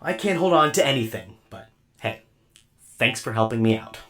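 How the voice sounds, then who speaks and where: A man's voice: fairly low pitch, lively intonation, moderate pace, fairly bright tone. A man voicing an earnest, puzzled, naive robot companion in a radio drama.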